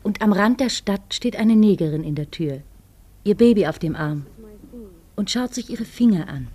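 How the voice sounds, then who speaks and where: A woman acting as a co-narrator in a poetic radio play, telling it in a measured, lyrical way. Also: mid-range voice, expressive, dark tone, natural voice.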